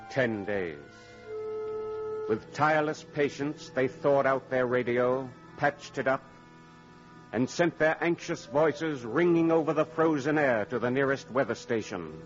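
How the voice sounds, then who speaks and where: A man giving solemn, dramatic scripted narration in the style of old-time radio, in measured phrases. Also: medium pitch. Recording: dull old radio recording. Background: music.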